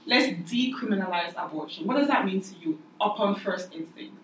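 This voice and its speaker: A woman's voice: mid-range voice, expressive, a little fast, fairly bright tone. A young woman from Cameroon hosting a casual discussion. She talks thoughtfully, asks questions, and restarts her sentences often. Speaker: podcast host. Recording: echoey room, mic at a distance, faint noise.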